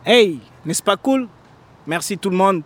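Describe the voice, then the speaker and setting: A man's voice: high voice, lively intonation. A middle-aged man of Congolese origin, a singer-songwriter, speaking earnestly to a live audience between songs. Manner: unhurried, earnest spoken asides to the crowd. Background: guitar playing.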